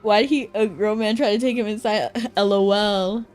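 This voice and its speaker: A woman's voice: medium pitch, steady tone, moderate pace, a little mellow. Female streamer, mid-range voice, casual slangy game commentary, playful and reactive, light music underneath. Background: faint game music.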